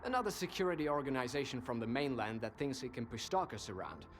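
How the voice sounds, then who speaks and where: A man's voice: medium pitch, big pitch swings, fast, soft background music, natural voice. An actor playing a cynical, worldly male informant in a video game, talkative and sardonic, with an insinuating conversational manner. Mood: sly, probing. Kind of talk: video game dialogue acting.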